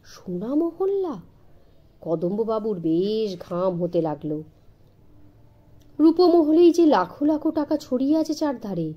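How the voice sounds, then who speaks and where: A woman narrating a scripted children's story in an expressive, dramatic storytelling manner. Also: fairly high pitch, a little slow, pauses often, muffled recording. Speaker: audiobook narrator.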